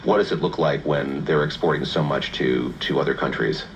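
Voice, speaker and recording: A man's voice: a bass voice, normal speed. A man hosting a radio news programme, asking questions and reading narration, heard through an AM receiver. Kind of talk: interview questions and scripted narration. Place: played through a shortwave receiver speaker. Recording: dull AM radio, faint static.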